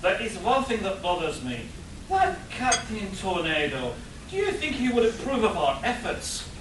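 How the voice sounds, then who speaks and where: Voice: medium pitch, very animated, normal speed, slight background noise, close to an everyday voice. A performer playing an earnest, worried professor who questions the villain in a comic radio play. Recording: very echoey hall, distant mic.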